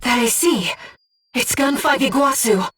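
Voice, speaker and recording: A woman voicing a gentle, composed, earnest disembodied female guide in a video game, speaking in a measured, softly formal and precise way. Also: sits high, husky, far from the mic, full character voice. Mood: alarmed, urgent. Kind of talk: scripted video game voice acting, mission guidance. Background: music.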